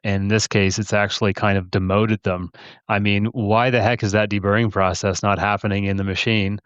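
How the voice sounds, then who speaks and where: A male podcast co-host with a clean, resonant voice that sits a bit low, captured without room echo. He chats casually, using mild folksy exclamations and rhetorical questions. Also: level tone, normal speed, a bit bright, smooth, lo-fi sound. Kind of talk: casual discussion.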